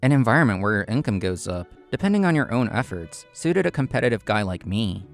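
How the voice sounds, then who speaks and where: A man's voice: lowish voice, big pitch swings, brisk, vocal fry, soft background music. A man playing a proud, competitive young consultant in a manga drama, intense and curt, narrating and speaking his lines. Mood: determined. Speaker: narrator and main character.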